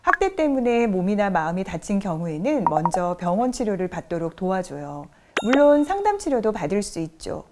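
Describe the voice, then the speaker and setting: A woman instructor explaining things to children in a friendly, reassuring and gentle way. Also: mid-range voice, big pitch swings, normal speed, few pauses, background noise.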